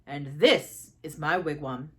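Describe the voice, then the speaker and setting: A woman's voice: deep voice, takes it slowly, voice pushed down. A woman voicing a menacing male great serpent who takes a man's shape, in a storybook, with terse commands. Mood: commanding.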